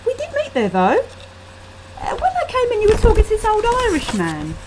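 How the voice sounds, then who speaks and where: A woman's voice: very high-pitched, wildly swooping pitch, a little fast, voice pushed up, exaggerated voice. A woman voicing an earnest, concerned young female friend in a short story. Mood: insistent. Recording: faintly noisy laptop webcam microphone.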